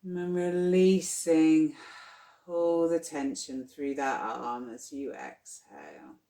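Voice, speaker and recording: A meditation guide speaks very slowly, leading deep relaxation with gentle, soothing instructions. The delivery is unhurried and spacious. The recording has a noticeable roomy echo around the voice. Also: vocal fry. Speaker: yoga nidra guide. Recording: roomy, echoing sound.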